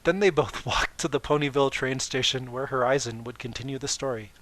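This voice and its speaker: A man's voice: mid-range voice, steady tone, fairly quick, a bit bright. A man reading fan fiction as dramatic narration, with wry, informal asides.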